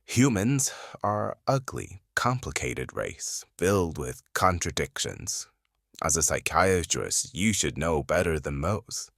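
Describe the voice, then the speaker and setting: A woman voicing a weary, guarded male FBI profiler in an audio drama, tired and deliberate, her voice pitched down to sound masculine. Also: sing-song, no room sound, studio quality, exaggerated voice. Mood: guarded, cynical. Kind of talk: fan audio drama acting.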